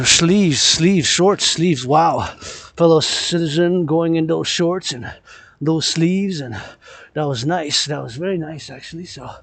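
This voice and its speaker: A man's voice: a little high, no echo. A man vlogging casually on an outdoor walk, rambling and circling back to repeat his phrases in a chant-like way. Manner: repetitive, chant-like rephrasing. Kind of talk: rambling outdoor walking vlog, casual chat. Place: outdoors in a park, walking among trees. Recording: noisy outdoor recording with clicks.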